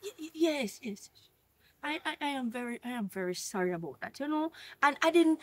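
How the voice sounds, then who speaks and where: A woman's voice: high-pitched, lively intonation, normal speed, fairly bright tone. A woman playing an emotional, churchgoing wife who talks in religious phrases. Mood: pleading. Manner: pleading, then furious.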